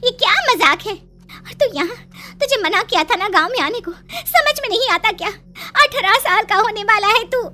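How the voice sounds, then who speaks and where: A woman playing an anxious, scolding village mother in an animated story drama. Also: very high-pitched, moderate pace, full character voice. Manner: fretful, scolding.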